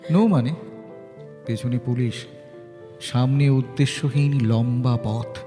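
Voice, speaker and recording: A man's voice: mid-range voice, takes it slowly, lightly acted. A man with a Bengali accent playing a smitten, self-important business owner in an audio drama, theatrical and poetic. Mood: romantic, poetic. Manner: theatrical, poetic, lapses into florid English. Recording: long reverb, faint music behind. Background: faint music.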